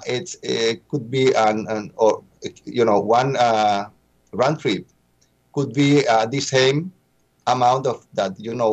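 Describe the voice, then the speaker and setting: A man who is not a native English speaker, giving explanatory interview answers as an expert, often searching for words and repeating himself. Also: medium pitch. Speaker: interview guest, population expert.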